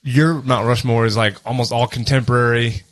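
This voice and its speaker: Deliberate man in casual podcast banter. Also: medium pitch, level tone, fairly bright tone. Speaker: podcast co-host.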